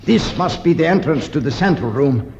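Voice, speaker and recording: An actor playing an eager, proud archaeologist in a 1950s radio drama, with earnest, formal diction. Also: male voice, on the high side, animated, normal speed, darkish tone. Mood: awed, excited. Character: eager, proud male archaeologist, a learned doctor. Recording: muffled, noisy 1950s radio recording, reverberant.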